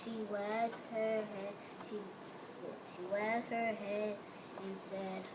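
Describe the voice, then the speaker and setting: Female fairy-tale narrator, unhurried and halting, on the high side, in a noisy recording. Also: flat delivery. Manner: halting, repeats and corrects phrases. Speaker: storyteller. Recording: noisy room recording with hiss.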